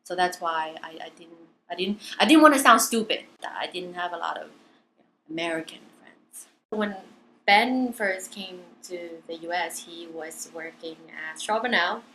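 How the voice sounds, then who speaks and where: A woman in her twenties from southern China, a native Chinese speaker, shares personal stories in an interview. Her voice is very bright and clear-cut, sitting a little low for a woman. She talks candidly, often repeating herself as she searches for words, over faint background noise. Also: low-quality recording.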